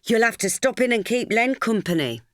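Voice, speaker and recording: A woman voicing an excited, bossy mother. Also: a little high, moderate pace, no echo, clean studio sound, pitched above the performer's own voice, close to an everyday voice. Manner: bright, bossy. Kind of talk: audiobook dialogue.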